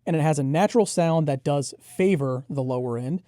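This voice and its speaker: A man's voice: on the high side, fairly quick, warm tone, no echo, studio quality. A man co-hosting a product review, explaining and giving his impressions in a conversational way. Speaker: headphone show co-host reviewer.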